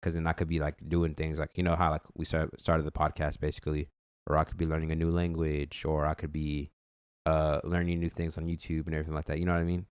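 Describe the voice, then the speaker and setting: A man's voice: extremely low pitch, completely flat delivery, fast talker, clean tone, no echo, clean studio sound. A young man co-hosting a podcast, talking casually and loosely with lots of fillers and restarts. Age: young adult. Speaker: podcast co-host. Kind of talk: casual chat.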